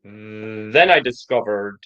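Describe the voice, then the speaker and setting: Very slow, deliberate man with a stammer. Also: on the low side, lively intonation, fairly bright tone, clear, resonant tone, highs cut off. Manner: slow, deliberate controlled speech with occasional stammer blocks. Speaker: programme member giving a testimonial. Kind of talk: prepared personal testimony.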